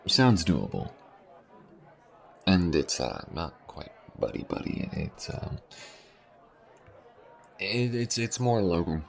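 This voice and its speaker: A man playing a gruff but fond alien smuggler in an audio roleplay, speaking low-key and haltingly in a gravelly voice. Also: sing-song, a faint crowd, full character voice. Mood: sheepish. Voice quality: very deep, gravelly. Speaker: voice actor.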